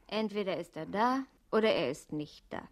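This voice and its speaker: An actress in an experimental radio play, voicing a logical figure who poses alternatives in a measured, formal way. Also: female voice, mid-range voice, animated, natural voice. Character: crisp female voice posing either-or alternatives. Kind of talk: experimental German radio play, scripted recitation.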